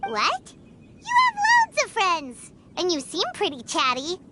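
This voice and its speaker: A woman voicing a small, naive, blunt childlike sprite in a video game, with a squeaky voice. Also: huge pitch swings, unhurried, heavy background noise, heavily acted. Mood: surprised. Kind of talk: cartoonish game dialogue.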